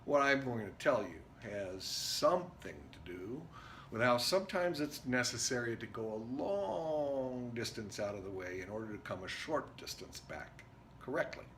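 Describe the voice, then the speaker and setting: A man performing a dramatic stage monologue as an intense, rambling drifter, speaking in emphatic broken phrases with pauses. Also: mid-range voice, lively intonation, fairly bright tone, natural voice. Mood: musing, insistent. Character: Jerry, intense rambling drifter confiding a story. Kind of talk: theatrical dramatic monologue reading. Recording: noticeable background noise.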